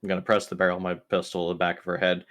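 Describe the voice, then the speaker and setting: A man's voice: low voice, completely flat delivery, racing, a bit bright. A man casually describing his character's actions as a player in a tabletop roleplaying game.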